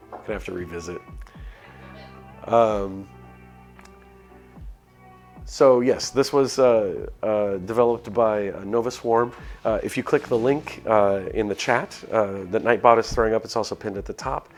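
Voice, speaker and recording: A man with a fairly low voice hosts a live game stream. He reads a visual novel aloud and adds casual, rambling, hesitant asides, with music playing underneath. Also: a little slow, long pauses.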